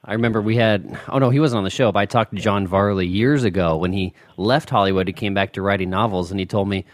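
A man's voice: fairly low pitch, quick pace, dry recording. A man hosting a podcast interview, asking questions in an easygoing way with brief affirmations. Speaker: podcast host. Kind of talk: casual interview.

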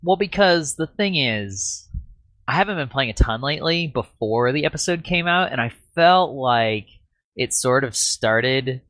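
A man with a slightly raspy voice chats casually as a podcast host. He rambles and laughs at himself. The sound is dry and close to the mic. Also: medium pitch, expressive, measured pace, fairly bright tone, no room sound.

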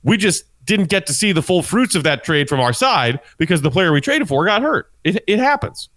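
A man's voice: high voice, big pitch swings, moderate pace, a bit bright. A man co-hosting a sports podcast, casually explaining his analysis as he thinks aloud.

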